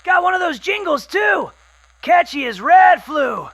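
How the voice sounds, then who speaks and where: A man playing a loud, excitable wasteland scavenger and collector of old recordings, who yells everything into the microphone. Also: extremely high pitch, a little slow, full character voice. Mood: excited. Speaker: radio guest.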